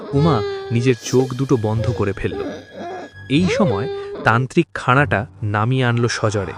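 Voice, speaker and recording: A man narrating a scripted horror story in a theatrical, suspenseful and emotive manner. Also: mid-range voice.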